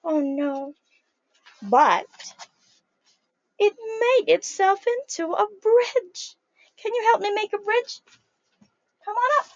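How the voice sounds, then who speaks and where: A young woman reading a picture book aloud to young children in a sing-song, animated way, calling them her friends. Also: very high-pitched, slow pace, pauses often, no echo, lo-fi sound. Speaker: early childhood storyteller.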